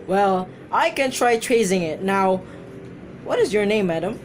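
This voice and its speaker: A woman's voice: mid-range voice, lively intonation, measured pace, slight background noise, lightly acted. A woman playing an officious chief telephone operator in an amateur radio drama, formal and procedural.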